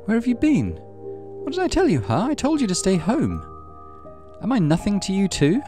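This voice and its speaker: A man voicing a stern, weary mother with a sharp, exasperated, accusing manner in a visual novel. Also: sits high, wildly swooping pitch, moderate pace, music in the background, pitched above the performer's own voice. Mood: accusing, hurt.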